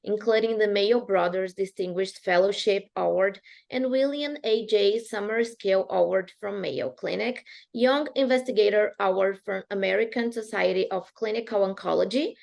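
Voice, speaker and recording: A woman's voice: mid-range voice, creaky. A woman co-host reading out a guest's introduction, with occasional stumbles. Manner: reads a long list with occasional stumbles.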